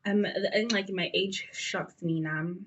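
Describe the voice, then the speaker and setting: A woman's voice: mid-range voice, unhurried, fairly bright tone, husky, dull audio. A woman in her twenties with a South African accent, chatting casually to her viewers with plenty of fillers. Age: 20s. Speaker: beauty vlogger. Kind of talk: casual get-to-know-me chat.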